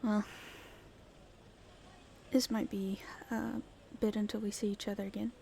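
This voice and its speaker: Casting: a woman to play a scrappy, cheeky young female street thief for ASMR roleplay; slow, halting, casual delivery, mid-range pitch. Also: flat delivery, creaky, dark tone, a little hiss or hum, rough recording. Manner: casual, halting speech with hesitations. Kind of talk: ASMR roleplay, casual in-character chat to listener.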